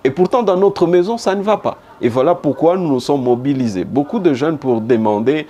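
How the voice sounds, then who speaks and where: A man's voice: on the high side, lively intonation, fairly quick, dark tone, lo-fi sound. A man from DR Congo with a Congolese accent, giving emphatic, rhetorically repetitive answers as a politician in a broadcast interview. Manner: emphatic, repeats phrases for rhetorical effect. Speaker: politician and former humanitarian worker being interviewed. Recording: background noise and room ambience.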